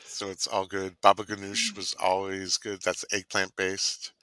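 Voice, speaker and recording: A man's voice: deep voice, completely flat delivery, unhurried, bright, forceful tone, no echo. A man from Canada co-hosting a casual online cooking chat, rambling and savoring his words. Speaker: co-host.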